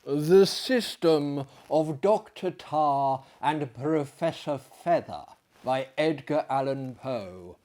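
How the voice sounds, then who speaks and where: A man's voice: on the high side, animated, slow, darkish tone. A man telling a story in a hammy, dramatic manner with formal phrasing and chatty asides. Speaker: storyteller, performer.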